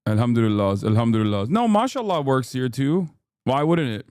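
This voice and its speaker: A Texan man in his thirties riffing on a live stream, excitable and foul-mouthed as he rambles from one thought to the next. His voice is smooth and resonant, recorded close and dry with no room around it. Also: mid-range voice, fairly quick, no room sound. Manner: hyped, profane, rambling banter. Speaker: streamer host.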